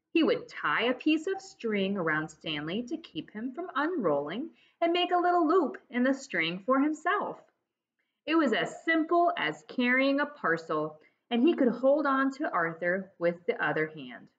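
A woman in her forties reads a children's book aloud with lively, swooping intonation. She explains things to young listeners as a teacher would. The sound is slightly dull, from a laptop mic in a room at home. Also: on the high side. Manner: expressive, explanatory, addressing children directly. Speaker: teacher reading aloud to pupils. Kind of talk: children's storybook read-aloud. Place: dining room at home, indoors. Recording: dull lo-fi webcam audio.

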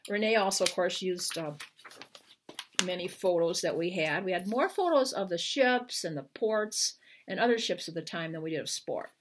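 Expressive older American woman with lively pitch. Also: normal speed. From Iowa, United States. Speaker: children's book author. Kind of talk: picture book read-aloud and informal talk to viewers. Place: living room at home, indoors. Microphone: webcam at arm's length.

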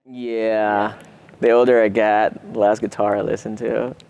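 A young Brazilian man, a native Portuguese speaker with a Brazilian accent, casually answering interview questions in a relaxed way while seated in a theater hall. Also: on the low side, unhurried, creaky, clear, resonant tone. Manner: relaxed, laid-back, many fillers like "you know" and "man". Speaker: guitarist.

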